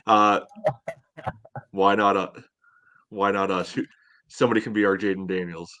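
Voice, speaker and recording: A man with a fairly low voice talks sports as a podcast panelist. His delivery is conversational, dry and sardonic. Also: level tone, normal speed, pushed and bright. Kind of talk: casual sports chat.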